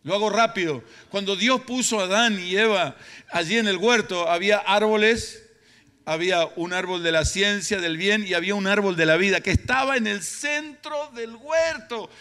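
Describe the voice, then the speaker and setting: A man's voice: sits high, normal speed, bright, forceful tone, clear, resonant tone. A middle-aged or older man with an Argentine Spanish accent preaching emphatically, repeating phrases for effect. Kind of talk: sermon. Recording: reverberant hall sound.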